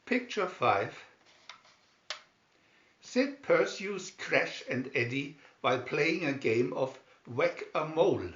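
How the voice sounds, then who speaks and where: Slow, higher-voiced man reading a storybook. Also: expressive, fairly bright tone. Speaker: reader of picture-story booklets. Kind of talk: slow scripted storybook narration. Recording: dull lo-fi, roomy echo.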